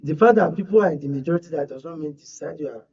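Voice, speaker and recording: A Nigerian man with a husky, slightly high voice gives formal, emphatic commentary as a radio guest. The recording is muffled, as if he sits back from the microphone. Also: rapid-fire, darkish tone. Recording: distant, dull, muffled broadcast audio.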